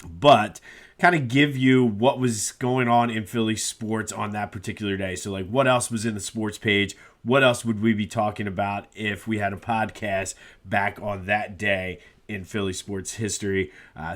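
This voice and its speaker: A man's voice: lowish voice, fairly even intonation, normal speed, fairly bright tone. A man hosting a solo sports podcast, a bit congested and nasal from a cold, talking casually with lots of "uh" fillers. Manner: conversational, with frequent "uh" fillers.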